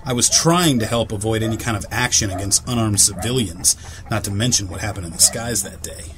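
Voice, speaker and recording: Low-pitched, resolute rescuer played by a man. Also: brisk, lightly acted. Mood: earnest, regretful. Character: earnest, resolute male rescuer and diplomat, dry-humored. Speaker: voice actor. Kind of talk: audio drama acting. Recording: echoey space with faint noise.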